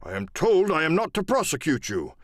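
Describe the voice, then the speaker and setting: A blustering, high-pitched indignant squire. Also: male voice, huge pitch swings, a little fast, no room sound, studio quality. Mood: stern, indignant. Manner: pompous, declamatory. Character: blustering, indignant English country squire, pompous and stern.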